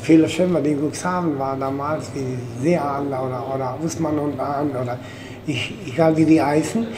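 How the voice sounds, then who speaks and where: A man's voice: a little high, fairly even intonation, noisy background. A middle-aged man from Portugal with a Portuguese accent, talking in a casual interview and often stopping to search for words, seated in a kitchen. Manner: halting, searching for words with many fillers. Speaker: interviewee, fisherman. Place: indoor kitchen by a window.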